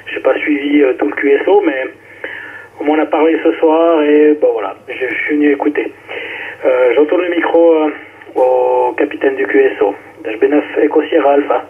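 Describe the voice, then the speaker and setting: A man's voice: a little high, moderate pace. A man from Switzerland giving a hesitant, informal check-in as an amateur radio operator. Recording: narrowband, phone-like ham radio relay.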